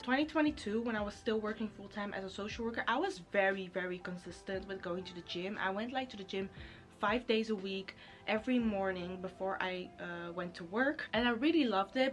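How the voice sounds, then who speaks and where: A woman's voice: mid-range voice, a bit bright. Chatty Dutch woman in her twenties. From Netherlands. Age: 20s. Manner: chatty, rambling, lots of "like" fillers. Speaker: YouTuber. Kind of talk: casual chat to camera.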